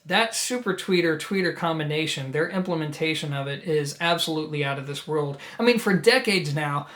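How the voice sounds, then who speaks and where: A man's voice: fairly high pitch, fairly bright tone. A man with a New Jersey accent giving a conversational, enthusiastic and emphatic product review straight to his viewers. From New Jersey, United States. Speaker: audio equipment reviewer and host.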